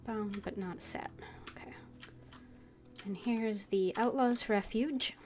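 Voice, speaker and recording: A woman's voice: medium pitch, slow, a little mellow. A woman playing a game on a livestream, casually murmuring to herself as she thinks aloud and reads. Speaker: game streamer. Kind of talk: casual game livestream, voicing her character's lines.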